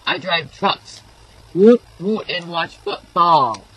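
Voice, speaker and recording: A woman's voice: lively intonation, slow pace, breathy edge, heavily acted, rough recording. A girl filtered into a bragging macho man. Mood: boastful. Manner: mock-tough bragging. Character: boastful macho man, deep and gruff. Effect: pitch-lowering voice filter. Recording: laptop webcam mic, some hiss.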